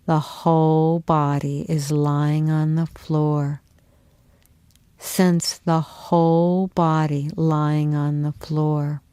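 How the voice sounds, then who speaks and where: A woman teacher leading a guided yoga relaxation in a soothing, unhurried, instructional manner. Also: deep voice, flat delivery, dark tone, clean tone, dry recording.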